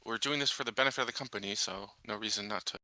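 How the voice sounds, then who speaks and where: A man's voice: lowish voice, racing, sharp tone, no room sound, rough recording. A man playing a tabletop roleplaying game, reasoning aloud casually with many qualifiers.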